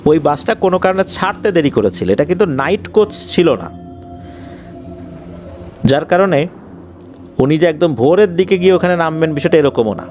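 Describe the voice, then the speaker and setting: A man's voice: fairly high pitch, lively intonation, normal speed, pauses often, a little mellow. A man with a Bangladeshi accent narrates paranormal incidents in a conversational, suspenseful way, explaining and repeating details as he goes. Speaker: horror story narrator. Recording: faint music behind the voice. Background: faint background music.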